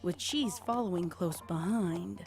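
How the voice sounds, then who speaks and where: A woman reading scripted story narration as the narrator of a dark tale. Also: fairly low pitch, unhurried, gravelly. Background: music.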